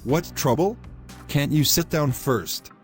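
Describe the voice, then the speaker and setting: A man's voice: fairly high pitch, slow, soft background music. A man playing a pushy, selfish young boyfriend in a scripted moral story, speaking in formal, wordy, insistent phrases. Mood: dismissive, evasive.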